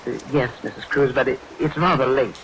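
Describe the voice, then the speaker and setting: An actor playing a nervous, evasive man hiding guilt, halting and apologetic, in vintage radio thriller style. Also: medium pitch, steady tone, fairly bright tone, lightly acted, poor audio. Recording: vintage radio recording, hiss, rain behind.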